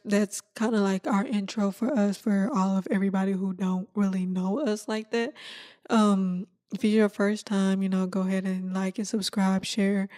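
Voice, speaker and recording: A woman in her twenties from Texas, co-hosting a casual podcast chat with plenty of laughter and drawn-out fillers. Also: medium pitch, dark tone. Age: 20s. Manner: laid-back, laughs often, drawn-out fillers. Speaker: podcast co-host.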